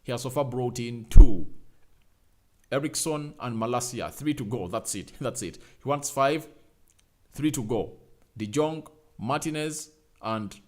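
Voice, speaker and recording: Young male streamer host, mid-pitched, chatty and repetitive, punches lines up loud when urging likes. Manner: reads out viewer names and comments, repeats phrases, urges likes; emphatic, rising to loud calls. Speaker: fan channel host. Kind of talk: casual live-stream chat.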